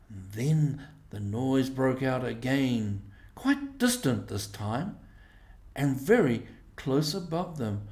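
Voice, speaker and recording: A man narrating a storybook in a measured, expressive way. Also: mid-range voice, echoey room. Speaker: audiobook reader.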